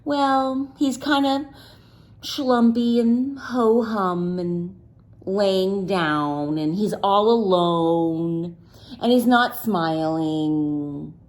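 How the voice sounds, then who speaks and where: A woman teacher reading a picture book aloud to young children, pausing to ask them questions in a playful, sing-song way. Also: medium pitch. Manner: slow and deliberate, pausing for answers.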